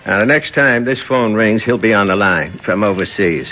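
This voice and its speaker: An actor playing a brassy, sardonic American nightclub owner with a mocking, slangy swagger in a 1970s radio drama. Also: male voice, lowish voice, normal speed, pushed and bright, a little hiss or hum. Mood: breezy, sly.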